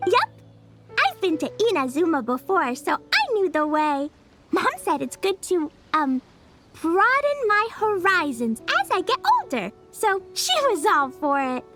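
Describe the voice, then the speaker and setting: A woman's voice: very high-pitched, sing-song, a little slow, a bit bright, soft background music, exaggerated voice. A woman voicing a cheerful, eager little girl who chatters in a chirpy, childlike way in a video game.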